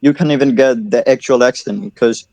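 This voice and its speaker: Man, mellow voice, dry sound, stumbling repeats. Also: medium pitch, moderate pace, no room sound, dull audio. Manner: repeats words while advising. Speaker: caller giving advice. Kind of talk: casual chat on a voice call. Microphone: online voice call.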